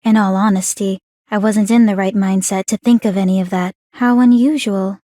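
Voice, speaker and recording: Woman voicing a mellow, composed, curious young woman. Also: medium pitch, steady tone, dry recording, close to an everyday voice. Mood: composed, appreciative. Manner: composed, measured, formal, inquisitive. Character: calm, clinically curious young woman, polite and coolly teasing. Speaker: video game voice actor. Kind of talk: scripted video game character voice lines.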